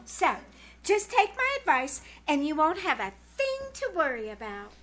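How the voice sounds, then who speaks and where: A woman's voice: sits high, huge pitch swings, normal speed, a bit bright, exaggerated voice. A woman playing a gushing, bossy teenage girl in a live 1940s-style radio comedy, with theatrical, emphatic delivery. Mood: persuasive. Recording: live small-theater stage recording, background noise.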